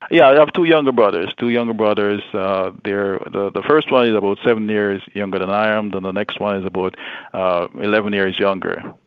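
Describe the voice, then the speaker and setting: A man in his forties from Jamaica, a guest speaking earnestly with many pauses and fillers. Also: lowish voice, clean tone, dry recording, narrow, tinny audio. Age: 40s. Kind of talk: casual interview.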